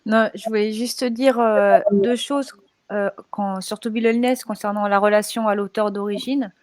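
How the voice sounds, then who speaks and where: A woman's voice: mid-range voice, fairly even intonation, unhurried, darkish tone, no echo. A woman from animation production explaining her working process during a panel discussion.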